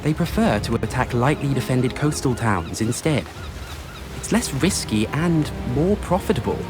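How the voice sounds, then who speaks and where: A man playing a reasonable, educated Roman nobleman and advisor in a video game, measured, formal and articulate. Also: medium pitch, animated, music in the background, lightly acted. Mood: reasoning. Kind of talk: scripted video game acting.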